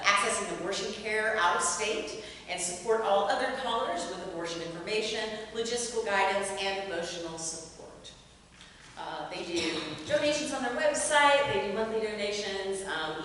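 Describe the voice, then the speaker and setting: A woman's voice: mid-range voice, normal speed, fairly bright tone. A woman performer reading aloud and speaking to an audience at a live showcase. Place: reverberant indoor performance space. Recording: echoey hall, far mic, faint noise.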